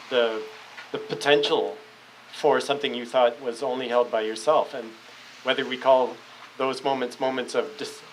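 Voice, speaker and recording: A man's voice: mid-range voice, a bit bright, slight background noise, low-quality recording. A man leading a public philosophy discussion, speaking thoughtfully and searchingly, often restarting his phrases. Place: public café discussion room.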